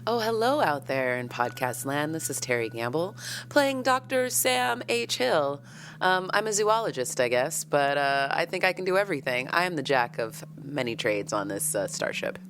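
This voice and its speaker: Low, animated woman introducing herself. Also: moderate pace, slight background noise. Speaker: tabletop game player. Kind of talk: casual podcast introduction.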